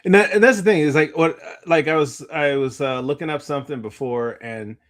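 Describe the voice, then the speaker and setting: A man's voice: a little high, a little fast, fairly bright tone. A man chatting casually about movies as a podcast co-host, rambling and often trailing off mid-thought.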